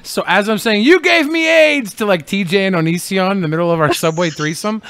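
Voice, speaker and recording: A man's voice: high voice, a little fast, fairly bright tone, clean tone, no echo. A man co-hosting a podcast in casual comedic chat, crude and exaggerated in his joking. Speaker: podcast co-host.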